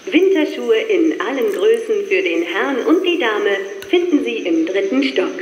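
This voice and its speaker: A woman's voice: mid-range voice, very animated, unhurried, slight background noise. Woman announcing in an echoey hall. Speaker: shop announcer. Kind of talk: scripted public announcement. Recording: echoey, hall-like, dull lo-fi sound.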